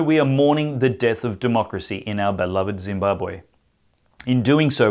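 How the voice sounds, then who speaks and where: Guy hosting a talk show, firm reading voice, kinda halting when he asks stuff, mid pitch. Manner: firm read-aloud delivery; halting filler-heavy questions. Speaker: host. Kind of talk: podcast interview.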